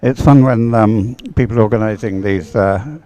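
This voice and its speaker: Male sports commentator, low voice, soft mellow tone, measured and hesitant live race calls.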